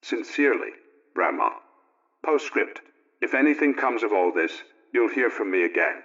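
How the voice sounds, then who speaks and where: A man's voice: on the low side, expressive, moderate pace, fairly bright tone. A man playing a homely, contented male housekeeper, reading out a folksy, orderly letter in 1920s radio style. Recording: dull, muffled old radio recording.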